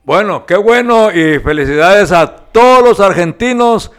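Male co-host, high voice, teasing banter and ad reads. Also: lively intonation, measured pace, a bit bright, smooth, soft background music. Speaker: sports radio co-host. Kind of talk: casual sports talk banter.

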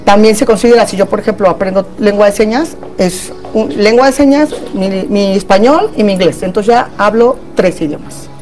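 A woman's voice: medium pitch, normal speed, few pauses, a little mellow, soft background music. A woman with a Mexican accent, a therapist guest in a casual panel chat, talking in a chatty way with many tag questions.

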